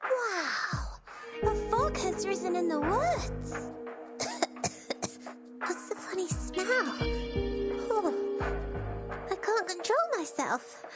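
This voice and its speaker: A woman's voice: extremely high pitch, animated, drawn-out words, pauses often, exaggerated voice. A woman playing an eager, curious, childlike girl in a video game, with a bubbly, innocent manner. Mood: excited, woozy. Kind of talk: scripted video game voice acting. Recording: loud background music.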